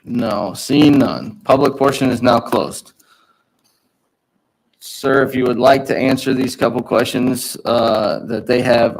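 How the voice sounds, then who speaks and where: A man chairing a city public hearing, procedural and halting, often repeating himself. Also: medium pitch, gravelly, slight background noise, poor audio.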